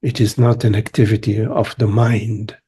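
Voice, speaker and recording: A man leading a guided meditation as a teacher, speaking gently in short phrases with long pauses. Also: on the low side, little pitch movement, breathy edge. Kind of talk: guided meditation, spiritual talk.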